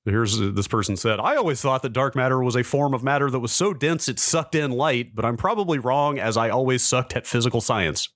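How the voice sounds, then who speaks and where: A man in his forties chatting casually as a podcast co-host and reading old posts aloud in a wry, halting, self-deprecating way. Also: medium pitch, expressive, a bit bright, no echo, studio quality. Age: 40s.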